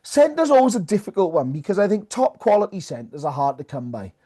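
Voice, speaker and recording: Higher-pitched mellow podcast man. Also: sing-song, fairly quick, husky. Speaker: sports podcast co-host. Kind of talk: casual rugby chat.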